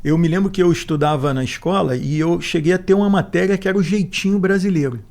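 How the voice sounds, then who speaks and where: A man's voice: high voice, quick pace, vocal fry, darkish tone. A man in his forties with a Brazilian accent, a podcast guest who talks reflectively and repeats words as he gathers his thoughts. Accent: Brazilian Portuguese. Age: 40s. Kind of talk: podcast interview.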